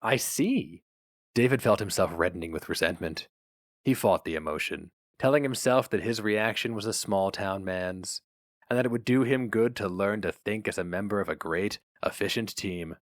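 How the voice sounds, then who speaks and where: A man's voice: fairly low pitch, moderate pace, no room sound, clean studio sound. A man reading a short story aloud as a dramatic scripted narration.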